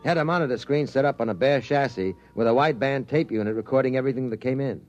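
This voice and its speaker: An actor playing a brisk, skeptical, inquisitive newspaper reporter in a 1950s radio drama, with a wry, probing delivery. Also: male voice, mid-range voice, highs cut off, soft background music, natural voice. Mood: storytelling. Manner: wry, probing, punchy questions. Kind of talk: 1950s radio drama acting with first-person narration. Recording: vintage 1950s radio recording.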